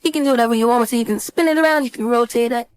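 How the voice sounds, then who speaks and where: A woman instructor giving a casual screen-share software tutorial, thinking aloud informally as she demonstrates. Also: fairly high pitch, racing, smooth, dry recording. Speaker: design instructor.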